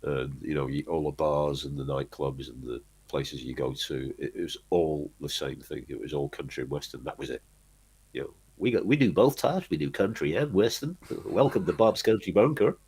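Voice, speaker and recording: A middle-aged man from Manchester, telling long rambling stories from his life in a relaxed chat, with lots of restarts. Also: a bass voice, moderate pace, husky, soft background music. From Manchester, England. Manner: rambling storyteller, restarts often, chuckles. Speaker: guest, band singer. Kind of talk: casual interview chat.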